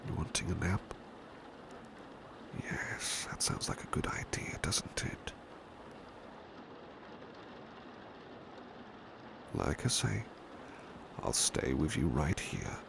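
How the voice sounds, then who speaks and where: A man playing a devoted, gentle British butler in an ASMR roleplay, speaking softly and breathily, often in a whisper, with tender deference. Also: rumbling low, exaggerated voice. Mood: tender, reassuring. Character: devoted, gentle male butler soothing a sleepy master. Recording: clear background ambience noise, rain. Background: rain ambience.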